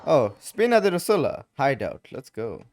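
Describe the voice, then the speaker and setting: A man's voice: mid-range voice, big pitch swings, normal speed, background noise. A man giving casual commentary as he plays a video game. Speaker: gaming streamer. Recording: game music behind the voice.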